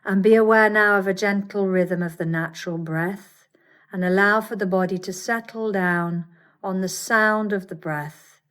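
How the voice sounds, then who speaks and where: A woman teaching yoga nidra, guiding a meditation with soothing, measured instructions and gentle pauses. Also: fairly low pitch. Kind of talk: guided meditation.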